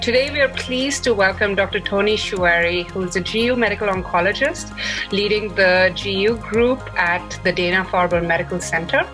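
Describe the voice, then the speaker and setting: A woman's voice: medium pitch, poor audio. A woman co-hosting a medical podcast over a video call, introducing a guest and asking questions in a chatty way with fillers. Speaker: podcast co-host, oncologist. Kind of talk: casual interview hosting.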